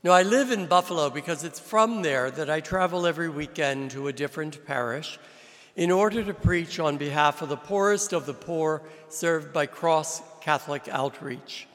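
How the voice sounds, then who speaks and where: A man preaching a sermon as a visiting priest, from New Jersey, with slow, deliberate delivery and emphatic rises. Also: fairly high pitch, a bit bright. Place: large church with long reverb. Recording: reverberant church hall.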